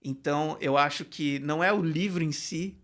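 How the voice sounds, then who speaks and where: A man speaks with a slightly raised pitch, spinning a personal anecdote in a relaxed podcast conversation. He tells it expressively and breaks into laughter as he goes. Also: moderate pace. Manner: storytelling, animated, laughs often. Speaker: Portuguese and creative writing teacher, guest.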